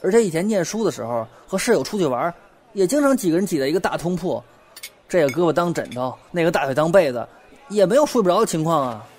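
Man playing an anxious, animated young man. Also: on the high side, quick pace. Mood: troubled, confessional. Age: young adult. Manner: hesitant, rambling, defensive. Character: anxious, sleepless young male bookshop owner confiding in a friend. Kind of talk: audio drama acting.

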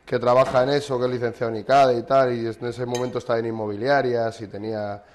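Hombre charlatán contando anécdotas. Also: male voice, medium pitch, flat delivery, quick pace, slight background noise. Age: 30s. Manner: chatty, anecdotal, rambling with restarts. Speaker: sports journalist guest. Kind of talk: casual interview chat. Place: kitchen.

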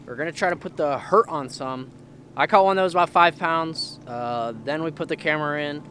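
A man's voice: on the high side, a little fast, a bit bright, slight background noise. A young man hosting a casual fishing vlog from an open boat on a lake, talking into an action camera in a laid-back, rambling way. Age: young adult. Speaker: fishing vlogger host. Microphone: action camera close to face.

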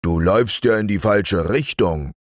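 A man's voice: on the low side, unhurried, no echo, studio quality, voice pushed up, lightly acted. A man voicing a grumpy, stout older male bear in a storybook reading, grumbling and a little sly.

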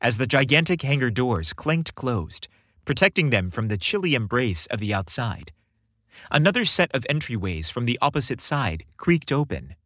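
A man's voice: mid-range voice, sing-song, moderate pace, sharp tone, dry recording, studio quality. A man reading a scripted story as a narrator, with dramatic storytelling delivery. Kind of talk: scripted audiobook-style narration.

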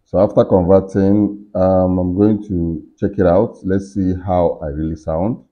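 A middle-aged man with a deep, low voice talks viewers through software at his desk. He explains step by step, often pausing on fillers and restarting his sentences. The recording sounds slightly dull and lo-fi, picked up by a computer mic. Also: fairly even intonation. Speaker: tech tutorial host. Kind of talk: screen-recorded software walkthrough. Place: indoor room at a computer desk.

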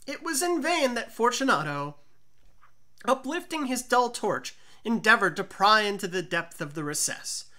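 Bright, animated woman narrating gothic fiction. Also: fairly high pitch, moderate pace. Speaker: audiobook narrator. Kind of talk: dramatic gothic storybook narration.

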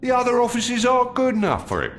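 An actor playing a nosy, indignant servant in a video game, full of exclamation. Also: male voice, very high-pitched, wildly swooping pitch, quick pace, background noise, full character voice. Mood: incredulous. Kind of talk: video game character acting.

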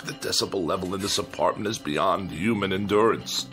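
An actor playing a fussy, irritable middle-aged hypochondriac husband in a radio drama, pompous and sarcastic. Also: male voice, deep voice, fairly quick, music in the background, close to an everyday voice. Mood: exasperated.

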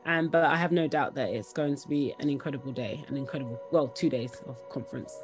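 A woman's voice: a bass voice, fast, faint music behind, low-quality recording. A young woman speaking conversationally and enthusiastically as an interview guest on a video call, repeating words for emphasis. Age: young adult. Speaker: interview guest, company founder.

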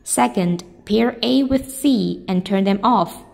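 A woman with a mid-pitched voice narrates a scripted how-to guide. She delivers each instruction in a measured, step-by-step way, as the narrator of a product tutorial. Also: creaky, a little mellow.

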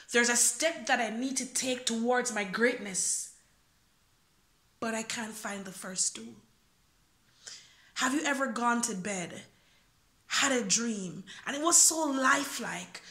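A woman's voice: fairly high pitch, a bit bright, echoey room. A young woman from Jamaica performing a freestyle spoken word poem into a phone camera, earnest and searching, full of questions. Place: small indoor room. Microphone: phone camera close up.

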